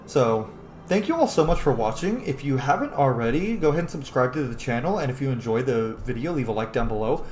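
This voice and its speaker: A man's voice: mid-range voice, expressive, brisk, a little mellow, roomy sound, faint music behind. A man, a young adult, hosting a gaming video with casual, sweary, emphatic commentary. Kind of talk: casual let's-play commentary.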